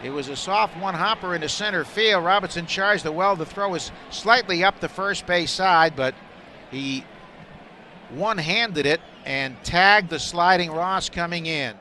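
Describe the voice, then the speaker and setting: A male play-by-play announcer delivers live baseball radio commentary in a forceful, bright voice. His pitch sits a little high for a man, and ballpark noise runs faintly behind him. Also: a little slow. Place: broadcast booth at an outdoor ballpark. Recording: steady background noise of ballpark. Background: stadium ambience.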